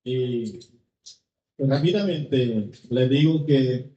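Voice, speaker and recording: Haitian guy in his 50s, creole native, talks real slow and careful, mellow dark voice, roomy muffled hall sound. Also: mid-range voice. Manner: slow, deliberate, searching for words in a second language. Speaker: singer answering audience questions. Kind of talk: live public Q&A. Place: cultural venue hall with an audience. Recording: echoey, dull hall sound.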